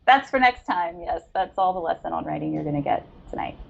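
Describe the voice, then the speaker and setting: A woman's voice: fairly low pitch, animated, brisk, creaky, phone-quality sound. A woman co-hosting a podcast in an effusive, appreciative, casual chatting style. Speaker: podcast co-host interviewing a writer. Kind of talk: casual chat.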